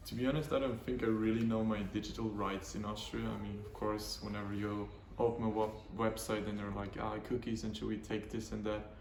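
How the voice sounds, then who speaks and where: Flat-voiced young man in echoey surroundings. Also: on the low side, fairly quick, few pauses. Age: late teens. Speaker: medical student interviewee. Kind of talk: casual interview answers. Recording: roomy echo, faint background noise.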